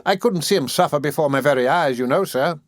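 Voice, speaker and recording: A man's voice: a little high, fast talker, dry recording, studio quality, close to an everyday voice. A man voicing a fawning, scheming woman who runs a nursery for orphans, gushing and wheedling with overdone humility. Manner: gushing, wheedling, overly humble. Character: fawning, scheming middle-aged woman running a workhouse nursery.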